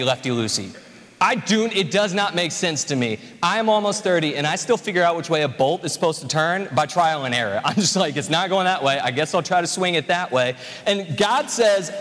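A man in his twenties preaching a conversational, joking sermon to a congregation. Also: mid-range voice. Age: 20s. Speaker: pastor. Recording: reverberant hall.

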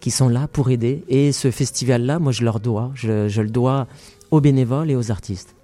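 Hesitant French man, mellow voice. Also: medium pitch. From France. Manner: measured, hesitant with many fillers. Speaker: festival founder. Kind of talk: interview conversation.

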